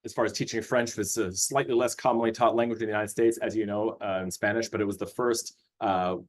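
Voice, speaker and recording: A man from Austria walks teachers through a curriculum on a video call. His voice sits a little low, and he speaks in a friendly, unpolished way, often pausing on fillers and restarting his sentences. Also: steady tone. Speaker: curriculum presenter. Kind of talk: webinar presentation.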